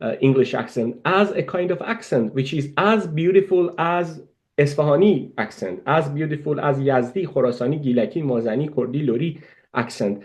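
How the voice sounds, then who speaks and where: A man with a Persian accent giving an academic lecture on teaching Persian, explaining at an unhurried pace and often pausing mid-phrase. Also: mid-range voice, a little mellow. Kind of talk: academic lecture with played music examples.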